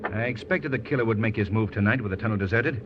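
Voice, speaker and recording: A man playing an urbane gentleman detective. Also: on the low side, quick pace, natural voice. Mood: earnest. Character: suave male gentleman detective. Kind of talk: 1940s radio acting. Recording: dull, hissy old radio recording.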